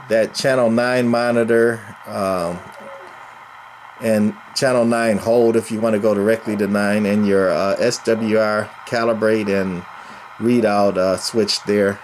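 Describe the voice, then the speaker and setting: Halting man demonstrating gear, noisy recording. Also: fairly low pitch, darkish tone. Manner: hesitant, halting delivery with frequent ums and pauses. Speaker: radio hobbyist presenter. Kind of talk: casual equipment demonstration. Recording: noticeable background noise.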